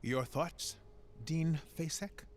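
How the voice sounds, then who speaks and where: A man's voice: fairly high pitch, slow, a little mellow. An actor voicing a grave, formal male marshal in a fantasy video game. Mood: alarmed.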